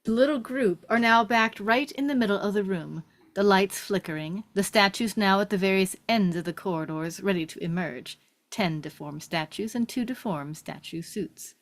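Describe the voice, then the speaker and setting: A woman's voice: medium pitch, normal speed. A woman narrating and hosting a script table read, moving between read stage directions and casual chat.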